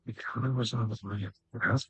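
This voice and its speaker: A man's voice: mid-range voice, fairly even intonation, moderate pace, fairly bright tone, breathy edge, no echo. A man giving casual gameplay commentary in short, muttered-aside fragments. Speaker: gamer recording a playthrough.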